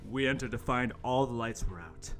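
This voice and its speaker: A man's voice: mid-range voice, brisk, a bit bright. A man narrating a horror radio play as its host, in a theatrical, mock-ominous dramatic style. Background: music.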